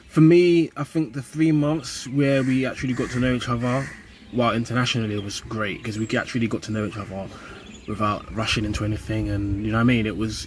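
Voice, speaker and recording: A man's voice: mid-range voice, a little hiss or hum. A young man with a London accent, talking to camera in a casual vlog, earnest, often repeating words as he finds them and ending points with a rising "yeah?". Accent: British, London. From United Kingdom. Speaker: vlogger. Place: home in Kenya.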